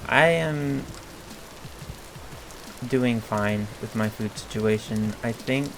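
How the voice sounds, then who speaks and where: A hoarse man giving casual, hesitant commentary over a video game, thinking aloud with frequent pauses and fillers. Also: medium pitch, noisy background. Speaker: gameplay video creator. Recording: clear game music under the voice. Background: game music and rain sounds.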